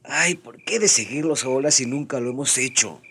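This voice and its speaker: An actor playing a wary, reluctant young chief's son in a radio drama, asking hurried, complaining questions in a husky voice. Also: male voice, medium pitch, animated, bright, forceful tone, slight background noise. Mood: startled, reluctant.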